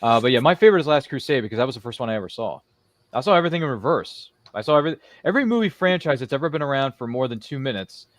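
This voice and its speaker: A man's voice: mid-range voice, animated, rapid-fire, no echo. A man hosting a live-streamed interview show, chatting casually in a jokey, rambling way. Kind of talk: casual chat.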